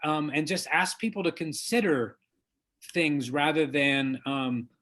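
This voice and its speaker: A man being interviewed as an author, lawyer and diversity trainer, speaking in an earnest, measured and emphatic way. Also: a little high, a bit bright. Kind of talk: interview guest, conversational.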